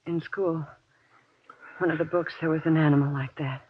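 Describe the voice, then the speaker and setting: A husky, fairly high voice for an anxious, devoted wife in radio horror. The delivery is hushed, halting and earnest. The sound is dull, like an old muffled recording. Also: male voice, steady tone. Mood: shaken. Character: anxious, devoted woman trapped underground with her husband.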